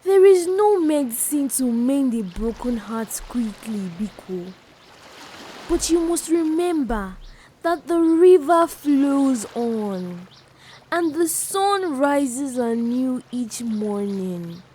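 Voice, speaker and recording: A woman voicing a gentle, wise old female village elder, speaking softly and consolingly. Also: high voice, lively intonation, a little hiss or hum. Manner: soft, slow and consoling.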